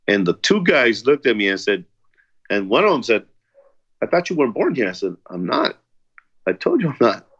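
A man's voice: low voice, a bit bright, dull audio. A man telling his own story of prison in an earnest, plain way, with restarts. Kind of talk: personal testimony interview.

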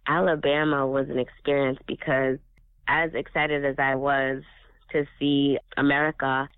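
A man's voice: mid-range voice, little pitch movement, measured pace, clean tone, dry recording. A man raised speaking Swahili as his first language, telling his story in an earnest, reflective casual chat. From Africa. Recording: tinny phone-like sound.

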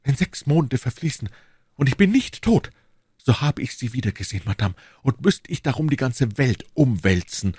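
A man's voice: medium pitch, big pitch swings, normal speed, a little mellow, breathy edge, no echo, clean studio sound, pitched above the performer's own voice. A man voicing a passionate, lovestruck English duke from a classic novel, pleading and fatalistic in an ardent, courtly, declamatory manner. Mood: vowing.

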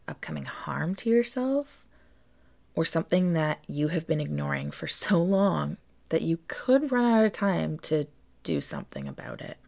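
A woman's voice: deep voice, creaky, a little mellow. A woman hosting a reflective solo podcast as a tarot reader, speaking earnestly and directly to her listeners.

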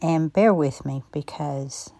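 A woman with a low, gravelly, hoarse voice talks slowly and thoughtfully through a personal podcast monologue. She has a non-native English accent, pauses often between her thoughts, and sounds close and dry on the mic. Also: no room sound. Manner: calm, reflective, measured delivery with frequent pauses. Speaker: podcast host.

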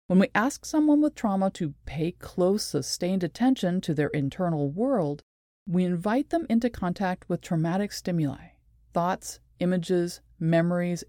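Woman reading a measured talk, very dry sound. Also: lowish voice, mellow, dry recording. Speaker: presenter. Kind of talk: scripted explanatory narration with read quotations.

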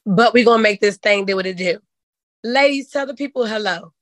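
Hoarse woman hosting a chat show. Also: medium pitch, a little fast, fairly bright tone, dry recording, studio quality. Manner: folksy and candid, says "child". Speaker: podcast host. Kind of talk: casual chat.

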